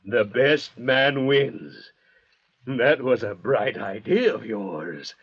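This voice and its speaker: An actor playing a menacing, sarcastic escaped convict crazed by thirst, with a slow, sneering, mock-polite manner, in old-time radio drama style. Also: male voice, mid-range voice, expressive, vocal fry, husky, heavily acted. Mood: mock-polite menace. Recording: muffled vintage radio recording.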